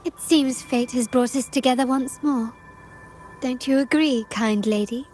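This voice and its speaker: An actress playing a refined, enigmatic woman traveller in a video game, with polite, formal and deliberate speech. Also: high-pitched, darkish tone, faint music behind. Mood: courteous, knowing.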